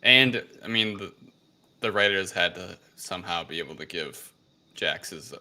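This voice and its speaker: A man's voice: low-pitched, moderate pace, pushed and bright. A man co-hosting a casual film review chat, recapping scenes informally. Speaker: podcast co-host. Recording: clear background noise.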